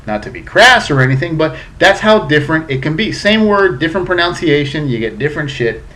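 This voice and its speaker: A man's voice: on the high side, animated, brisk, pushed and bright. A man in his thirties from South Florida, a little drunk, casually reviewing a beer on his webcam in a chatty, profane way. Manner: chatty, profane, a bit tipsy. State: slightly drunk.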